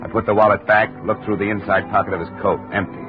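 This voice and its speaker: An actor narrating and playing a wry, tough insurance investigator in a 1950s radio drama. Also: male voice, lowish voice, little pitch movement, fast, music in the background, natural voice. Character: hard-boiled male insurance investigator. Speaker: narrator and lead. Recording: dull 1950 radio broadcast audio.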